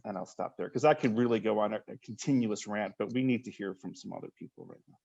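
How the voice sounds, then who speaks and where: A man's voice: on the low side, fast talker, vocal fry, darkish tone, muffled recording. A man speaking emphatically on a panel, hammering points with repeated phrases over a video call. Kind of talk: passionate panel discussion.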